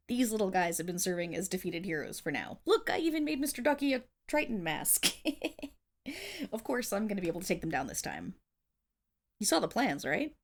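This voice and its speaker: Woman acting a theatrical, gravelly lovestruck supervillainess. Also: a little high. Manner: theatrical villain declaiming that flips into flustered, affectionate chatter. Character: bashful, lovestruck female supervillain rehearsing world domination. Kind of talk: ASMR roleplay audio drama.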